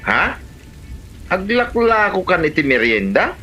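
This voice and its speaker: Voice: medium pitch, very animated, unhurried, sharp tone, heavily acted. An actor playing a nosy, teasing, bossy village neighbour in a comedy drama, with an Ilocano accent. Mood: teasing, gossipy. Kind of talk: Filipino comedy drama dialogue.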